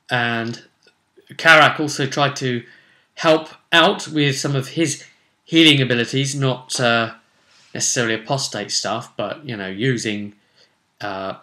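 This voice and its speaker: A man's voice: medium pitch, sharp tone. A man casually recapping a tabletop role-play session as game master, unscripted and conversational, wandering off into asides. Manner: rambling, conversational, with many asides.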